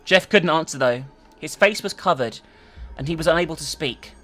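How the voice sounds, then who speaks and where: A woman's voice: sits low, expressive, moderate pace, a bit bright, faint music behind. A woman giving a theatrical, emphatic dramatic reading of a story, as a host who also comments casually. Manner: theatrical, emphatic, sweary in asides.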